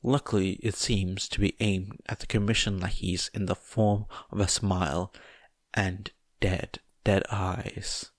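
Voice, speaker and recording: A man reading a story aloud as scripted narration, in a measured, deliberate way. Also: sits low, flat delivery, no echo, clean studio sound. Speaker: audiobook narrator of fan fiction.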